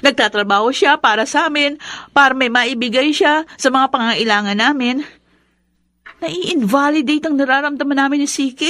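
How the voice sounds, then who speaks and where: A woman's voice: sits high, sharp tone, dry recording, studio quality. A woman with a Filipino accent acting a fed-up young eldest daughter and protective big sister in a radio drama.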